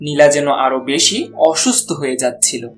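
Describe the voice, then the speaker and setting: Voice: mid-range voice, fairly quick, faint music behind. A narrator reading a scripted cartoon story.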